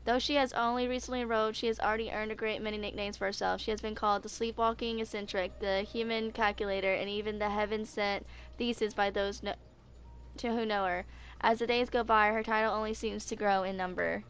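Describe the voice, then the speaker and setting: A woman's voice: mid-range voice, flat delivery, a little fast, a bit bright, clear, resonant tone. A woman in her twenties streaming casually and reading game lore aloud, informal, stumbling over some words. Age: 20s. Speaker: gaming streamer.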